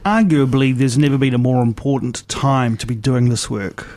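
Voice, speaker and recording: A man hosting a radio morning show, asking a guest questions in a measured, friendly way. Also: medium pitch, a little mellow. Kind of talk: radio interview.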